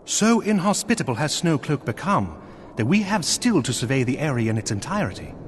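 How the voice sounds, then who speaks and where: Expressive, courtly fantasy nobleman. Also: on the high side, brisk, slight background noise. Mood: thoughtful, resolute. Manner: formal, archaic, courtly phrasing. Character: earnest, gracious nobleman commanding a snowbound knightly outpost. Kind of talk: scripted fantasy game dialogue.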